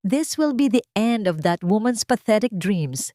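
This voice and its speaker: A woman's voice: medium pitch, animated, unhurried, mellow, dry recording, studio quality, voice pushed up, close to an everyday voice. A woman voicing a vain, arrogant young society woman with a haughty, gloating manner in an audio drama. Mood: smug gloating. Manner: haughty, smug.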